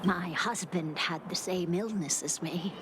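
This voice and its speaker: A woman playing a frail, resigned, hard-of-hearing old widow in a video game, speaking haltingly and wistfully. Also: on the low side, a crowd in the background, close to an everyday voice. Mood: gentle, resigned. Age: older. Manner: halting, sighing, wistful, with soft chuckles. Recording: clearly noisy game stream capture.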